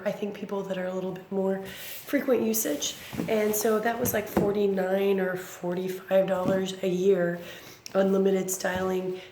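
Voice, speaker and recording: Thirties woman vlogger, hoarse and raspy, loose chatty delivery full of fillers; roomy echo with steady noise behind. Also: medium pitch. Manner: rambling, frequent ums and self-corrections. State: raspy from bronchitis. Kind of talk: casual unboxing chat. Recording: echoey room with background noise.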